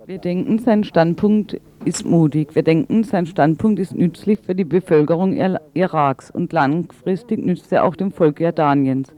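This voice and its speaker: A man's voice: on the high side, normal speed, hardly any pauses. A man working as an interpreter, delivering a spoken translation of interview answers. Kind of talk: consecutive voice-over interpreting. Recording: slightly dull radio sound.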